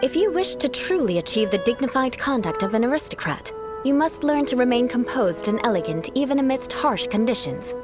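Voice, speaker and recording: A woman voicing a proud young aristocratic knight in a video game, speaking formally and haughtily with measured composure. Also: on the high side, sing-song, over music. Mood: composed, resigned. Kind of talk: video game dialogue acting.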